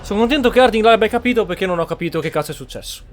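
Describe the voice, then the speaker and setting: Fast-talking man commenting on a game. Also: high voice, lively intonation, noisy background. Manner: blunt, swearing, puzzled thinking aloud. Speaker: gaming streamer. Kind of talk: casual playthrough commentary.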